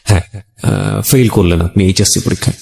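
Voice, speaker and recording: A man's voice: on the low side, a little fast, gravelly, darkish tone. A man with a Bangladeshi accent hosting a conversational life-story interview, attentively echoing and acknowledging what he hears. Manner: attentive, echoes the guest with short acknowledgements. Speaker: radio show host interviewing a guest. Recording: roomy echoing sound.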